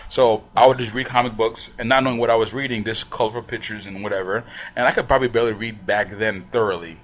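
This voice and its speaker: A man's voice: on the low side, fairly even intonation, quick pace, fairly bright tone. A young man from the Bahamas, a guest chatting casually on a podcast and laughing often. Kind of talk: casual chat.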